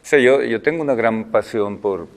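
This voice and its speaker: An older man, a film director answering interview questions in a thoughtful, halting way. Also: mid-range voice. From Colombia. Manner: thoughtful, halting, many fillers and restarts.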